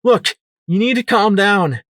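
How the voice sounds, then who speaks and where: A man reading the lines of a brash young mare pony heroine, yelling urgent orders. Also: high voice, animated, a little slow, dry recording, studio quality, pitched above the performer's own voice.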